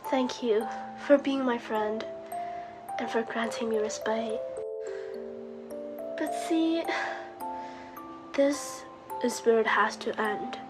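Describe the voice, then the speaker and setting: A woman voicing a polite, wistful, possessive serpent in a scripted, theatrical monologue, speaking gently and formally. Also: a little high, slow pace, long pauses, music in the background. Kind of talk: scripted character monologue to the listener.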